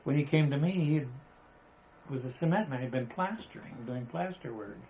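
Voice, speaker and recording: An older American man reminiscing casually in an interview, halting and rambling as he talks. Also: fairly high pitch, lively intonation, a little mellow, a little hiss or hum, poor audio. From Arizona, United States. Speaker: interviewee, veteran photographer. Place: indoors, photographer's studio.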